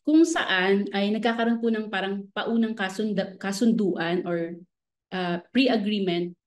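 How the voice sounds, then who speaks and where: A woman's voice: mid-range voice, unhurried. A Filipino woman giving explanatory interview answers as a government fisheries professional, mixing in technical terms. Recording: roomy, echoing voice.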